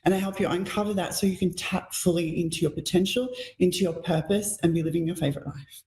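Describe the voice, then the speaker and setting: A woman hosting a live psychic radio show, slightly croaky from being sick, talking in a gentle, flowing, spiritual way. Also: lowish voice, steady tone, fast talker. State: croaky from illness. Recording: echoey room.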